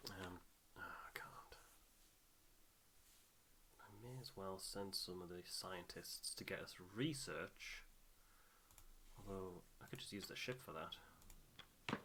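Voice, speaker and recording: A man's voice: low-pitched, slow, pauses often, in a whisper. A man giving casual playthrough commentary as co-host of a gaming video. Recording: background noise, keyboard and mouse clicks.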